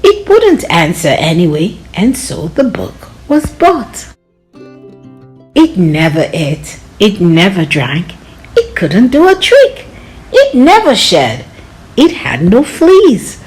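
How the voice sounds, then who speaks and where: A woman reading a children's storybook aloud in an expressive, dramatic way, drawing out words for young listeners. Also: medium pitch, slow pace, soft background music.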